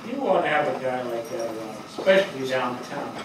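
An older man playing a grieving, plain-spoken fire captain in a staged play reading, halting and weary. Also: medium pitch, expressive, natural voice. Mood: somber. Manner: halting, hesitant delivery. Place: small theatre stage before a seated audience. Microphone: distant camera microphone. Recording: very echoey hall, far microphone, noisy.

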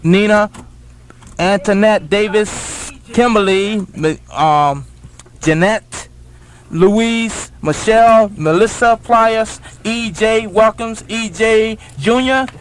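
A man's voice: sits high, slow, a little hiss or hum. A man reads out dedications on a hip hop radio show. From United States. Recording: 1980s radio aircheck, music behind.

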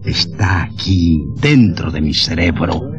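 An actor playing a proud, touchy music professor and inventor, theatrical and deliberate, in an old radio drama. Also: male voice, sits low. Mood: self-important. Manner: theatrical, self-important, deliberate.

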